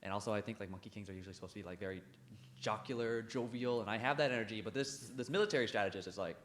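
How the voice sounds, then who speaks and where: A man's voice: lowish voice, racing, a bit bright, slight background noise. A man speaking in an unsure, rambling way, full of likes, in a casual group discussion. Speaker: actor.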